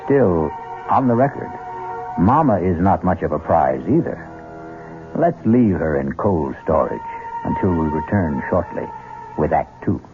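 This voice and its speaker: A very deep male narrator hosts an old radio drama over clear background music. He is droll and theatrical, with a widely varied melody in a muffled broadcast sound. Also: sing-song, measured pace. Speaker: host and narrator.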